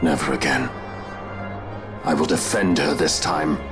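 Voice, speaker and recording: Veteran knight: deep, measured, formal; game cutscene with music. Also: male voice. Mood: solemn. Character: deep-voiced loyal veteran knight, solemn and steadfast. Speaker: knight escorting a princess. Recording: game cutscene with loud score.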